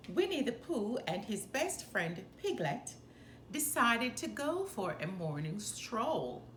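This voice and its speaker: Woman reading a storybook slowly in a roomy space. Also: on the high side. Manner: deliberate, animated phrasing. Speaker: storyteller reading a children's book aloud. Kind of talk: expressive children's read-aloud narration. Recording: roomy echo, faint background noise.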